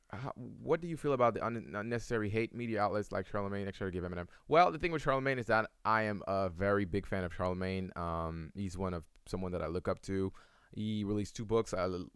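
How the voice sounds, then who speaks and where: A man's voice: fairly low pitch, fairly bright tone, smooth, no room sound, studio quality. A young man whose first language is French, answering viewers' questions in a casual live-stream, rambling with many fillers and restarts.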